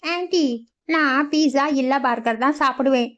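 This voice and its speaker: A woman voicing a fussy, high city girl. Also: moderate pace, fairly bright tone, lo-fi sound. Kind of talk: cartoon voice acting.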